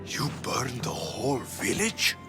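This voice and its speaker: An actor playing a rugged, blunt but protective mercenary, a reluctant hero, in a dramatic game cutscene. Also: male voice, medium pitch, big pitch swings, takes it slowly, over music. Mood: troubled concern. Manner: blunt, dry. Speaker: lead character in an action-adventure game.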